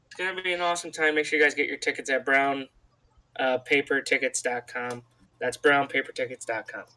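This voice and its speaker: A man's voice: medium pitch, fairly even intonation, a little fast, sharp tone. A man co-hosting a wrestling podcast, giving casual, informal promotional patter and addressing listeners as folks. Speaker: podcast co-host. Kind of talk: casual sponsor read and banter on a wrestling podcast.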